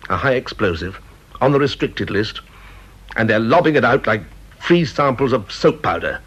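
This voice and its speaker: Stern male department chief, British, radio play: husky, clipped, exacting, muffled old recording. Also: medium pitch, expressive, normal speed, a bit bright. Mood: angry, scornful. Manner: clipped, interrogating, sarcastic, reprimanding. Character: stern, exacting senior government scientist, cold and officious. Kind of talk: British radio drama acting.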